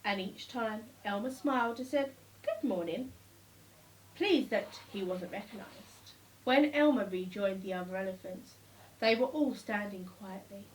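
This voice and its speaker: A woman reading a children's storybook aloud, with expressive, deliberate phrasing and emphatic repetition. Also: mid-range voice, a little hiss or hum. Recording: slightly distant microphone.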